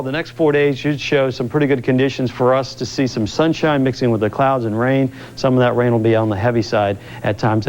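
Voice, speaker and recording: A man's voice: medium pitch, darkish tone, slight background noise. An American man giving a live, ad-libbed weather report on television, explaining conditions and advising viewers. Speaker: TV weather forecaster. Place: TV studio. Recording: dull lo-fi VHS broadcast recording.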